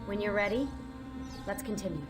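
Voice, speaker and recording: A woman's voice: mid-range voice, brisk, lightly acted. A woman playing a caring, reassuring teammate in a video game cutscene. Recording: game audio with music behind.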